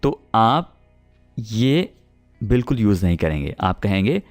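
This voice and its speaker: A Pakistani man gives a spoken English lesson, taking his time over each example sentence. His pitch rises and falls a lot as he checks his students are following, and faint music plays behind him. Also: sing-song. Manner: explains patiently, repeats example sentences slowly and checks in with "theek hai?". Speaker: English teacher.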